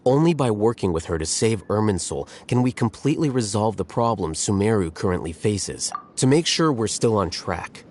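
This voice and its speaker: A man voicing a calm, scholarly strategist in a video game, speaking in a measured, explanatory way. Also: on the low side. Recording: game audio with faint music.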